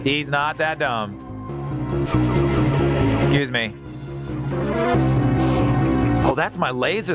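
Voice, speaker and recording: A male game streamer with a fairly high, very animated voice that swings up and down. He talks casually to his viewers as he plays, joking, exclaiming and repeating phrases, over loud game music. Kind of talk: casual live gaming commentary, chatting with viewers. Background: loud video game music.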